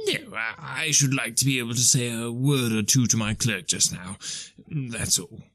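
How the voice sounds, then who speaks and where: A man's voice: medium pitch, normal speed, a bit bright, no room sound. A man reading aloud and voicing a young man grown greedy, who answers in curt, defensive retorts.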